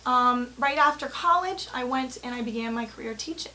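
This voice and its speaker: A woman's voice: a little high, moderate pace, pushed and bright, a little hiss or hum. A woman in her thirties, a music teacher and business owner, giving an earnest, articulate spoken profile of herself. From born in London, grew up in the Bahamas and England. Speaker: music teacher and company president. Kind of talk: spoken personal profile to camera.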